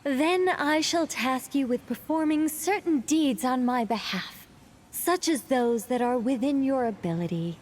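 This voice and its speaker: A woman voicing a grand, mysterious storybook mage in a video game, with pompous archaic phrasing. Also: high voice. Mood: imperious. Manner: pompous, archaic, drawn-out delivery.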